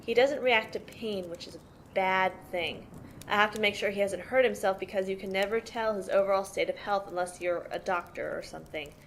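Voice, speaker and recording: Woman playing tough motherly sister, medium pitch, matter-of-fact, amateur audio drama, slightly noisy recording. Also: brisk, a bit bright, close to an everyday voice. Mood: explanatory. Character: protective, tough sister mothering five troubled orphaned brothers. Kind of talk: amateur audio play, in-character interview.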